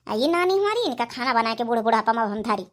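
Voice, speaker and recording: A woman's voice: fairly high pitch, very animated, fast, heavy background noise. A woman voicing a weary old village mother-in-law in a comic cartoon, complaining and muttering with an Awadhi accent. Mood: grudging.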